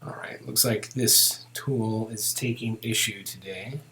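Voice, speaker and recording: A man teaching software in a casual, unscripted way, thinking aloud as he walks through each step. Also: lowish voice, unhurried. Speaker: software tutorial instructor. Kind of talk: narrated step-by-step screen tutorial, unscripted.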